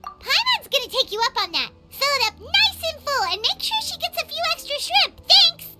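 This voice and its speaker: Super high squeaky little fairy sidekick from a video game, excitable, chirpy, really animated, music playing behind. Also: female voice, normal speed, exaggerated voice. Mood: eager, delighted. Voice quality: squeaky, childlike. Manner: speaks of herself in third person, chirpy. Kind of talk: video game character acting.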